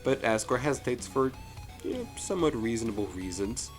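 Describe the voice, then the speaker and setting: A man giving a casual, jokey review commentary as the host, with animated delivery and frequent asides. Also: medium pitch, fairly quick. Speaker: host of a fan story review show. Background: music.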